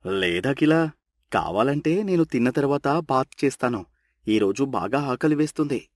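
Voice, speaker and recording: A grumbling husband in a cartoon family tale, voiced by a man. He is blunt, teasing and quick to complain. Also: mid-range voice, lively intonation, close to an everyday voice. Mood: hungry.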